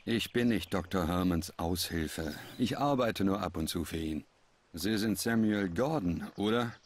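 A man's voice: sits low, unhurried. An actor playing an indifferent male helper delivering a package, in a dubbed adventure game. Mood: offhand. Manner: offhand, indifferent.

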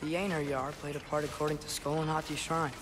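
A man's voice: fairly high pitch, little pitch movement, fast, noisy background, close to an everyday voice. A young man playing an earnest, curious teenage boy adventurer in a video game, with a casual, questioning manner. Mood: thoughtful. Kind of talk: video game dialogue acting.

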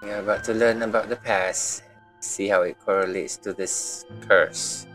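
A man's voice: fairly low pitch, fairly even intonation, normal speed, a bit bright, low-quality recording. A man streaming a game with casual, offhand commentary, muttering and paraphrasing as he reads. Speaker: gaming streamer. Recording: background game music. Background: game music.

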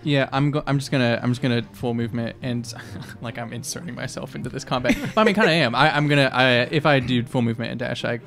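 A man's voice: mid-range voice, quick pace, vocal fry, hardly any pauses, fairly bright tone. Man chatting at a tabletop game. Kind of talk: casual actual-play table talk. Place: indoor room, around a gaming table. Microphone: close podcast microphone on stand. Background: faint music.

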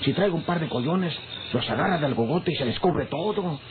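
A man's voice: a little high, expressive, brisk, lightly acted. An actor playing a plain-spoken, stubborn rural henchman with a country Mexican accent in a radio serial. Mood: insistent. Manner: plain-spoken, folksy, insistent. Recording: tinny, noisy old radio recording.

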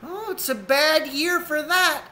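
A man's voice: extremely high pitch, takes it slowly, bright, forceful tone, pitched above the performer's own voice, exaggerated voice. A man voicing a consoling female raccoon neighbour in a storybook, matter-of-fact. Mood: dismissive.

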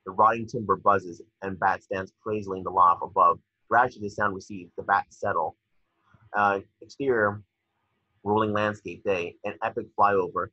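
A man's voice: on the low side, fairly even intonation, moderate pace, sharp tone, dry recording. A man reading stage directions aloud as narrator at a table read, now and then stumbling over words. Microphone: video call. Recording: dull lo-fi video call audio.

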